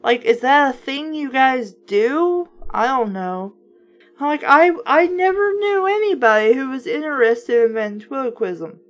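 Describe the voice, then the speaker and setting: A woman with a fairly high voice reads a children's book aloud with some music behind her. She is informal and chatty, dropping in wry, skeptical asides as host and reviewer. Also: lively intonation, measured pace. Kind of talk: casual read-aloud with offhand commentary.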